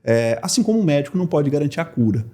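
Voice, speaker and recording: A man's voice: a little high, sing-song, racing, warm tone. A man in his forties from Belo Horizonte with a Minas Gerais accent, a lawyer guest talking earnestly and reflectively in an interview. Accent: Minas Gerais Portuguese. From Belo Horizonte, Brazil. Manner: earnest, reflective, with many fillers. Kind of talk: relaxed interview conversation.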